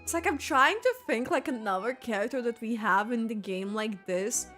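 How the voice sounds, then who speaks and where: A woman giving casual, excitable, rambling reaction commentary while playing a video game. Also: a little high, normal speed, faint music behind.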